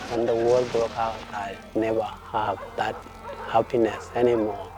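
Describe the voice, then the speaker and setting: A man's voice: medium pitch, dark tone, rough recording. A young man from South Sudan with a South Sudanese accent, earnestly telling his life story in a documentary interview.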